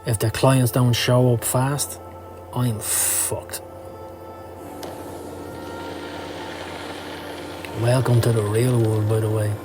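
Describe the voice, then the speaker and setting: A man's voice: mid-range voice, level tone, unhurried, natural voice. Man playing a seedy occult hustler, sardonic, slangy, profane, motion-comic drama, music underneath. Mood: grim, sardonic. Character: down-at-heel, foul-mouthed, cynical male occult hustler.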